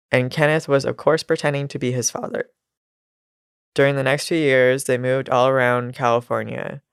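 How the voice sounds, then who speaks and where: A woman's voice: extremely low pitch, clear, resonant tone, no echo, studio quality. A nasal, congested woman telling a true crime story on a casual podcast, with laughs and asides. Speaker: podcast co-host.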